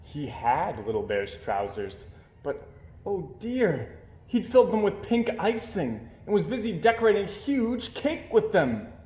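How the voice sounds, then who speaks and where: A man reading a children's picture book aloud as an expressive storyteller. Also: high-pitched, unhurried. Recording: roomy, echoey indoor sound.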